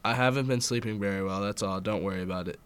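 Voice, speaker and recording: A man's voice: fairly low pitch, fast, smooth, close to an everyday voice. A man voicing a troubled, evasive father in a horror story, talking briefly and dismissively to his son. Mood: calming down. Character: troubled, irritable camping father, evasive and protective.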